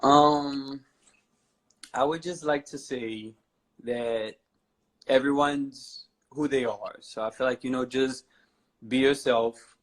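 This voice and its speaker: A man's voice: mid-range voice, steady tone, slow, long pauses, low-quality recording. A man in his twenties from New Orleans, a guest on a talk show, speaking casually and rambling with lots of "you know" and "I feel like". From New Orleans, USA. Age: 20s. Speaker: guest, fashion model.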